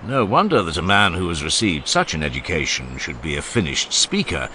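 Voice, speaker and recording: Socrates as an older, ironic philosopher in an audiobook drama, his voice very low yet bright, rising and falling widely as he teases. The delivery is expansive and mocking in a gentle way. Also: big pitch swings, fairly quick, a little hiss or hum, heavily acted. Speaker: voice actor.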